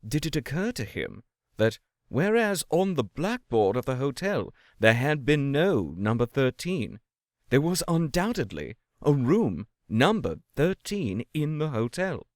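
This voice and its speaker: Male story narrator, measured literary delivery, animated intonation, close dry sound. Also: medium pitch, dry recording. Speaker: audiobook-style story reader. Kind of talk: scripted narration of a classic ghost story.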